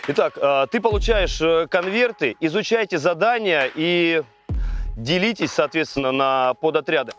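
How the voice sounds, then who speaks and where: A man's voice: on the high side, moderate pace, fairly bright tone. A man hosting a reality show, presenting and briefing the contestants. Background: faint music.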